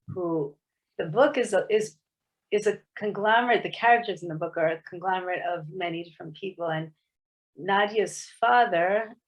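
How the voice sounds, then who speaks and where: A woman's voice: on the low side, rough recording. A woman author speaking to a book club over a video call, thoughtful and hesitant, often restarting her sentences. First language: English. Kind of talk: casual explanatory talk on a video meeting.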